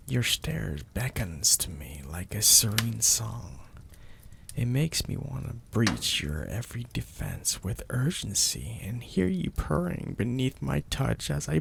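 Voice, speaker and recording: A man playing a possessive, domineering mafia boss in an intimate ASMR roleplay, speaking deliberately and with teasing menace in a gravelly, husky, breathy voice. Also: medium pitch, heavily acted. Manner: slow, deliberate, menacing, florid wording.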